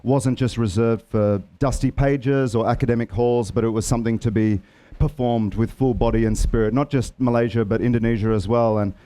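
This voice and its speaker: Man, mellow and smooth. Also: mid-range voice, fairly even intonation, a little fast. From Australia. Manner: reflective, with frequent fillers. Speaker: author on a panel. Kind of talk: relaxed storytelling.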